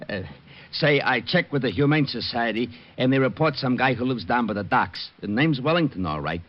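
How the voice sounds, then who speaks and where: Scatterbrained comic cop played by a man, lowish voice, dim and hesitant, old radio acting. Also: animated, moderate pace, natural voice. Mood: absent-minded, dim. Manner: hesitant, absent-minded, dim. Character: slow-witted, scatterbrained male police sergeant. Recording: dull old radio recording.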